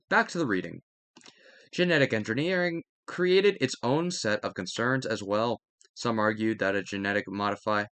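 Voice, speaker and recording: A man's voice: medium pitch, fairly bright tone, no echo. A man reading a textbook aloud as a lesson, now and then stumbling and repeating a phrase to correct himself. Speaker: reader narrating a history textbook aloud. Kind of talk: educational read-aloud with brief asides.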